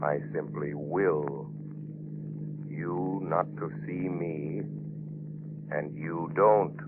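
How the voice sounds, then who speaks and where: An actor playing an unseen, taunting avenger in 1930s radio drama, menacing and deliberate like a voice of conscience. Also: male voice, a bass voice, steady tone, vocal fry, exaggerated voice. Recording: noisy 1930s radio broadcast, narrow band.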